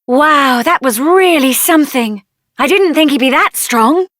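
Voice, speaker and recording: A headstrong young sorceress from a video game speaks with a British accent. Her voice is strikingly high, and she delivers lines with theatrical, assertive conviction and a fiery temper. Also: animated, unhurried, a bit bright, dry recording, clean studio sound. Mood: determined, pensive. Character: headstrong, fiery young woman mage avenging her brother. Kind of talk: video game character voice acting.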